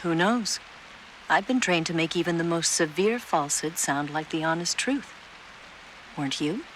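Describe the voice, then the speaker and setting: An actress playing a cool, worldly female spy in a video game, speaking in a measured, enigmatic way. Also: fairly low pitch, expressive, a bit bright, slight background noise, low-quality recording. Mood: coy.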